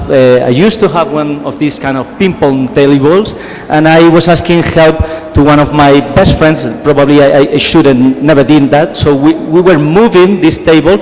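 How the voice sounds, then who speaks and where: Middle-aged man from Spain, strong Spanish accent, somewhat high pitch, halting conference lecture, reverberant hall. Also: a little mellow. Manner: halting, repeats words, asks the audience questions. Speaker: conference speaker, physician. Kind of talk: conference presentation. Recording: hall reverb, faint background ambience.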